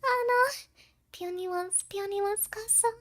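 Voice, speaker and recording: A woman's voice: unhurried, fairly bright tone. A woman voicing a cute, whiny little fairy girl in an anime VTuber roleplay, childlike and cutesy. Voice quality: very high, childlike. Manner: cutesy, drops in Japanese words, third-person self-talk.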